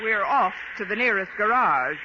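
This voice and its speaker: Actress as a wry schoolteacher, noisy old radio. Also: female voice, mid-range voice, expressive, moderate pace, muffled recording, close to an everyday voice. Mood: wry, sarcastic. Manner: dry, sarcastic delivery. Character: caustic, wisecracking female high school English teacher. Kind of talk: 1940s radio sitcom acting.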